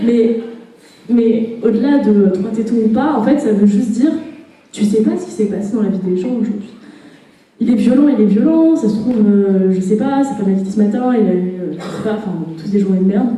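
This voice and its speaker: Young french woman chatting casually on a panel, very dark mellow voice, far from the mic. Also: mid-range voice. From France. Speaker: conference panelist. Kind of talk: panel discussion talk. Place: conference hall. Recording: echoey hall, voice from far off.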